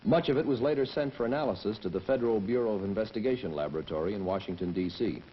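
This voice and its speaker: A man's voice: lowish voice, very fast, close to an everyday voice. An actor playing a matter-of-fact male police detective, giving plain, methodical scripted testimony in a 1960s training film. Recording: muffled 1960s film soundtrack, hiss.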